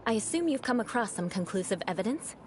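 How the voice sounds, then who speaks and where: An actress playing a proud, grudge-bearing noblewoman knight in a video game, speaking in a cool, measured, haughty way. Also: fairly high pitch, sing-song, heavy background noise. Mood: composed.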